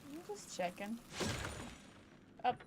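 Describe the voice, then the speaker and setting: A woman's voice: fairly high pitch, big pitch swings, slow pace, background noise. A woman livestreamer giving casual gameplay commentary with playful, offhand short reactions. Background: game music.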